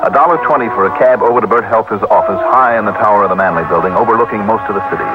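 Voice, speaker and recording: An American actor playing a wry, brisk, friendly freelance insurance investigator in an old-time radio drama. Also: male voice, on the low side, over loud music, lightly acted. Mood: dry narration. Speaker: lead actor in a radio detective series. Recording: noisy, muffled old radio recording.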